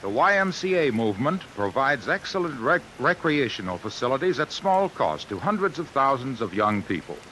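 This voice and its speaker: A man's voice: medium pitch, sing-song, normal speed, hardly any pauses, pushed and bright, poor audio. An American man reading 1950s radio advertising as a polished, emphatic commercial announcer. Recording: hissy old radio recording.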